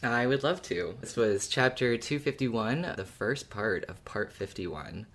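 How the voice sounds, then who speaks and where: A podcast speaker in a casual fan discussion, talking in an animated, colloquial way. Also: mid-range voice, measured pace. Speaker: podcast co-host or guest.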